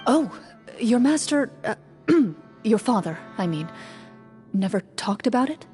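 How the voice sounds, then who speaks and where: An actress playing a composed, perceptive female duelist in a video game, speaking in a measured, formal, articulate way. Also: a little high, sing-song, a little mellow, faint music behind. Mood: serious, inquiring.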